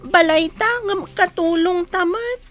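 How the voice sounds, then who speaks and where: A woman's voice: very high-pitched, moderate pace, a bit bright, faint music behind. A woman voicing a plaintive, hungry young orphan girl in an audio drama. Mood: complaining. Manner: plaintive, childlike. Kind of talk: audio drama acting in Ilocano.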